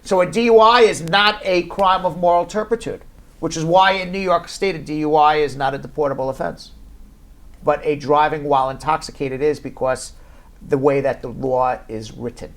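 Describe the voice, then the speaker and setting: Higher-pitched man explaining law. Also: moderate pace. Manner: explanatory, repeats key points, fillers like "you know". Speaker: immigration lawyer and host. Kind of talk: live-stream legal Q&A. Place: law office.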